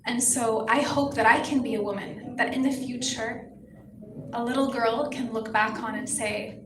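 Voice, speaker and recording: A young woman from Palestine answers press questions at a pageant meet-and-greet, earnest and impassioned. Her voice sits a little high. The recording is echoey, with clear room chatter behind her. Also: steady tone, normal speed. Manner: earnest, impassioned, speaks in full sentences. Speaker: pageant contestant. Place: hotel event hall with a crowd. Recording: echoey hall, busy room noise.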